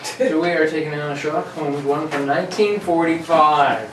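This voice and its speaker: A man casually hosting a relaxed group chat and introducing what is coming up. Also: fairly high pitch, moderate pace. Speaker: host. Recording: roomy, distant mic, noisy home recording.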